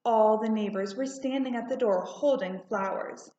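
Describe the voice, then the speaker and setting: A woman narrating a children's storybook aloud in a deliberate, expressive way. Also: on the high side. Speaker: storybook reader. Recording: roomy, slightly muffled home recording.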